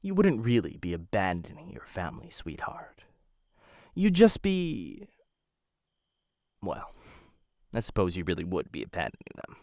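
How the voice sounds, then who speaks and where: Man acting a deep-voiced, flirtatious assassin, swooping intonation. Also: huge pitch swings, darkish tone, no room sound, studio quality, exaggerated voice. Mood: reluctant, smitten.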